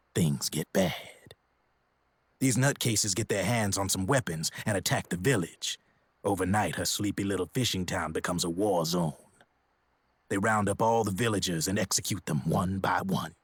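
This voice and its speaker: Streetwise American arms dealer, glib and theatrical, low register, dry close game recording. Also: male voice, breathy edge, no echo. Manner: slangy, theatrical storyteller. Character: streetwise, glib arms dealer telling grim war stories. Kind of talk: video game cutscene voice acting.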